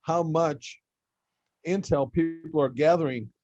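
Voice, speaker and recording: A man's voice: fairly high pitch, a little slow, a little mellow, dry recording. Curious male podcast host, lo-fi sound. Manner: curious, asks follow-up questions. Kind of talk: casual podcast chat. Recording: slightly dull, lo-fi mic.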